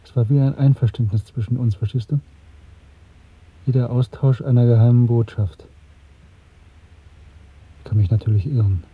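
A pensive middle-aged man in a radio play, earnest and brooding. His voice is husky, on a thin, phone-like recording. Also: medium pitch, steady tone, moderate pace, pauses often. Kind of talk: German radio play acting. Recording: muffled, narrow-band old radio recording.

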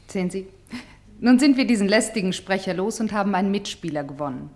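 Female play leader for radio drama, actress, medium pitch, deliberate, insistent, commanding. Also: animated, a little mellow, close to an everyday voice. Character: commanding female play leader summoning people and places to speak. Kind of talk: German radio play acting.

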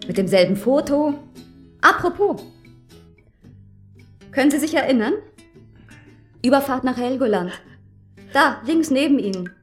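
An actress in a German radio drama playing a bitter avenging woman who confronts a seducer with sarcastic, accusing, pointed questions. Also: a little high.

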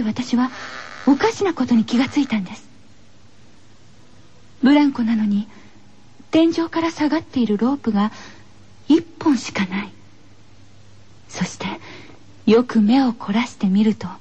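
A very high-pitched young heroine, acted by a woman, frightened yet resolute in a haunted school. She speaks with tense, breathy drama, as in a horror radio play, over faint noise. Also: darkish tone, dull audio. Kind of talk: Japanese horror radio drama acting and narration.

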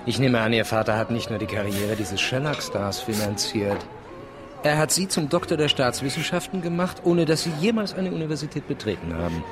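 An actor playing a world-weary, sardonic travel writer, speaking with dry literary irony in a radio drama. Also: male voice, medium pitch, expressive, a little fast, lightly acted. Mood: sardonic, probing. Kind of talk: radio drama narration and dialogue. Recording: music behind the voice.

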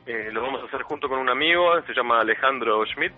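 A man's voice: medium pitch, fast talker, soft background music. A man from Buenos Aires with a Rioplatense accent, hesitant and restarting often. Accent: Rioplatense Spanish. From Buenos Aires, Argentina. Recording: tinny phone line, distant.

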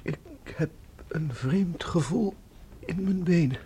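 An actor playing a hypnotised soldier in a radio play, haltingly and obediently repeating his orders. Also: a little high, husky, heavily acted. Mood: dazed, obedient. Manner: halting, monotone, echoes orders. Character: hypnotised soldier, blank, obedient, drugged into servitude.

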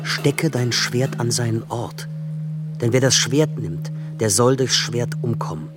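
A man's voice: mid-range voice, unhurried, close to an everyday voice. A male actor playing a grave Jesus in a radio passion play, speaking solemnly and declaiming scripture. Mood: solemn, admonishing. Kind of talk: German radio drama acting of scripture. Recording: heavy music behind the voice.

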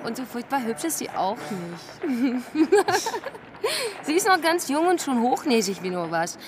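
A woman's voice: on the high side, sing-song, a little slow, noisy background. An actress playing a gossipy, teasing young city woman in a German radio play. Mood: mocking, amused.

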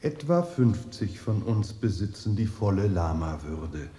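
A man acting in a radio drama as a courteous, composed older Chinese monastery guide, speaking formally and with measured politeness. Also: low-pitched, sing-song, warm tone. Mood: polite, measured. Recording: echoey room, faint noise.

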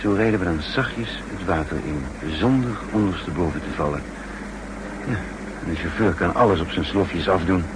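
An actor playing a cool, resourceful captured spy in a radio drama, calmly and wryly explaining things. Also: male voice, deep voice, moderate pace, lightly acted. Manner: calm, wry, explaining patiently. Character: cool, resourceful captured male spy narrating his story. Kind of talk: 1960s Dutch radio drama acting. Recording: noisy 1960s radio drama recording.

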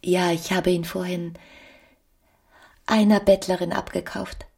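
An actress playing a bored, discontented young wife with secret contempt, brooding and intimate in a radio drama. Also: female voice, lowish voice, little pitch movement, measured pace, vocal fry, a little mellow, slightly rough, no room sound, clean studio sound, exaggerated voice. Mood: guarded, distracted. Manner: brooding, intimate, sometimes murmured. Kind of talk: German radio drama acting. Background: music.

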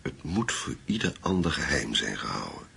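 An actor playing a grave, methodical investigator in a radio drama, with a husky voice and a measured, deliberate delivery. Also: male voice, extremely low pitch, sing-song, pushed and bright, a little hiss or hum, full character voice. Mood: thoughtful, probing. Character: grave, methodical male investigator piecing together a wartime secret. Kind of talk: Dutch radio play acting.

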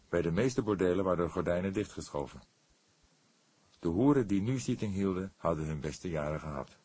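A man's voice: deep voice, a little mellow, husky, dry recording. A man narrating a scripted detective story as an audiobook reader.

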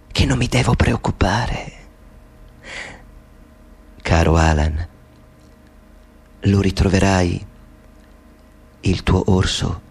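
An actor playing a gentle, loving mother who speaks softly and slowly to her son in a radio play. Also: low voice, creaky, slightly rough, pitched below the performer's own voice, exaggerated voice. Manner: soft, slow, soothing.

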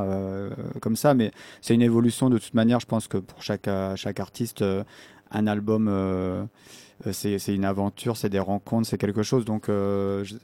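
A man who plays in a band, talking conversationally in an interview, halting and correcting himself as he searches for words. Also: lowish voice, warm tone, clear, resonant tone, no room sound. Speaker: band musician.

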